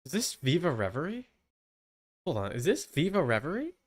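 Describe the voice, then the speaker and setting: A man's voice: on the high side, huge pitch swings, normal speed. A young man giving casual reaction commentary as a streamer, informal and exclaiming as he thinks aloud.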